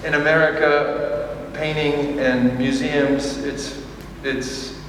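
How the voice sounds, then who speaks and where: A man, an artist speaking at a press conference, halting with many ums and restarts. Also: on the high side, little pitch movement, background noise. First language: English. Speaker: exhibiting artist. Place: large echoey museum hall. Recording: echoey hall, distant mic.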